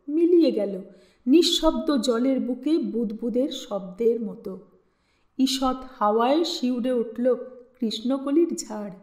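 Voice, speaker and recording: A woman narrates literary fiction in a high-pitched voice. She takes her time, shaping each phrase expressively. The recording has a clear roomy echo around her. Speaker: storyteller reading literature aloud. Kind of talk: dramatic audiobook-style story narration.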